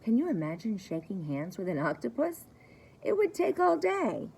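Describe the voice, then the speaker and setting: A woman reading aloud for young children like a preschool teacher, in a simple, deliberate way, repeating key words. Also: mid-range voice, huge pitch swings, gravelly, a little mellow, breathy edge. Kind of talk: children's story time read-aloud.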